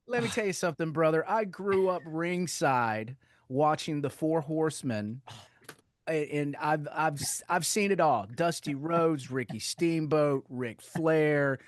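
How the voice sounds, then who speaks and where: A middle-aged man from North Carolina with a Southern accent, a rock singer chatting loudly and laughing often as an interview guest on a video call. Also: fairly high pitch, a little slow, no room sound. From North Carolina, USA. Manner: loud, animated, laughs often. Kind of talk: casual interview chat.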